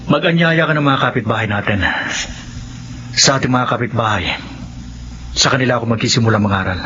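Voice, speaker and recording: A man's voice: medium pitch, fairly quick, bright, forceful tone, natural voice. An actor playing a solemn resurrected man who preaches and heals with grave certainty, in a Filipino radio drama. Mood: zealous, certain. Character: solemn resurrected husband turned self-assured preacher and healer. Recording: dull, noisy radio drama audio.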